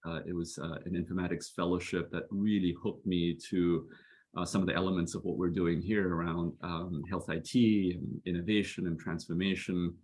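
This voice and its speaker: A man's voice: sits low, level tone, normal speed, a little mellow, husky. A man in his fifties from Southeast Asia, a guest telling his career story in an earnest, reflective way and laughing easily. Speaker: guest, physician and health executive. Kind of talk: casual video-call interview.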